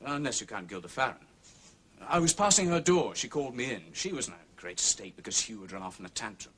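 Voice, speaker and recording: An actor playing an agitated, embarrassed upper-class English gentleman in a scrape, blurting and exclaiming in a period radio drama. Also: mid-range voice, expressive, quick pace, breathy edge. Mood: awkward, confiding.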